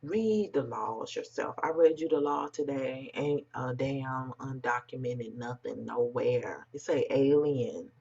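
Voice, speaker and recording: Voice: medium pitch, unhurried, vocal fry, few pauses, darkish tone, slightly rough. An American commentator with an androgynous voice, talking bluntly and emphatically in informal, unscripted remarks to online viewers. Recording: dull, muffled lo-fi sound.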